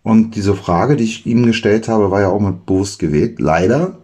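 A man co-hosting a podcast, chatting casually and trading deadpan absurd jokes. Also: on the low side, normal speed. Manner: joking, deadpan absurd banter. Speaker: podcast co-host. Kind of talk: casual comedic chat.

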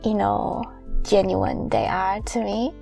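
A soft-spoken young woman whose first language is Chinese, co-hosting a casual podcast chat and laughing often. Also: medium pitch, very animated, takes it slowly, gravelly, soft background music, poor audio. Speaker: podcast co-host.

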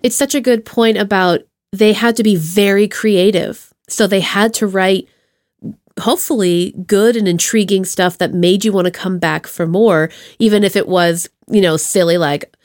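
A woman with a medium-pitched voice hosts a casual interview, recorded dry with no room sound. She speaks informally, with an encouraging and enthusiastic manner toward her guest. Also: steady tone, normal speed, darkish tone, no room sound. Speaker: podcast host, interviewer.

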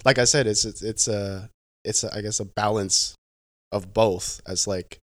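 A young man speaks in a low voice, easygoing but halting, restarting phrases and leaning on fillers as he tells his story. The sound is dry and close, with no room behind him. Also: fairly even intonation, a bit bright, dry recording. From Pacific Northwest, United States. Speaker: guest. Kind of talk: casual conversational interview.

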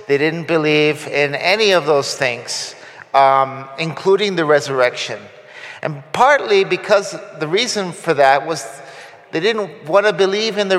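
A man's voice: fairly high pitch, fairly bright tone. Man preaching in an echoing church. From Costa Rica. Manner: conversational, with frequent fillers and restarts. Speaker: guest preacher. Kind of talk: sermon. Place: church sanctuary.

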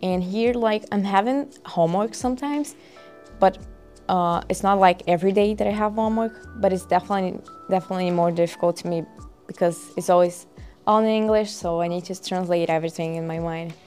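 A woman's voice: fairly low pitch, a little mellow. Young girl chatting, lots of restarts, faint music. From Brazil. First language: Portuguese. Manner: halting, restarts, fillers. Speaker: guest student. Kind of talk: casual interview answers.

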